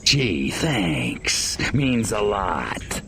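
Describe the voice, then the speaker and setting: Vengeful game alien, sardonic drawl, gravelly, hard bright edge, long reverb. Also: male voice, on the low side, very animated, drawn-out words, slight background noise, full character voice. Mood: dry sarcasm. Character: cocky, vengeful male alien invader, sardonic and menacing. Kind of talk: video game cutscene acting.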